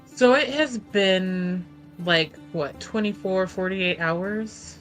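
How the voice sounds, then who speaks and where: A woman playing a tabletop game, describing her character's actions in casual, halting, informal talk. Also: medium pitch. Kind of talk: casual improvised roleplay chat. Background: music.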